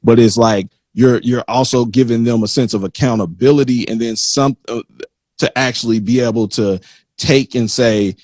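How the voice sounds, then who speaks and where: A man hosting a live interview in a conversational way, haltingly circling back and repeating words as he frames his points. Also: mid-range voice, steady tone, a little mellow, no room sound. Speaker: interview host.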